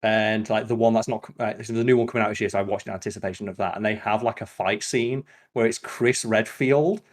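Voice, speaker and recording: A man hosting a podcast, chatting casually and retelling scenes in an animated, rambling way full of filler "like". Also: on the low side, quick pace. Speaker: podcast host. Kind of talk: casual podcast chat about films.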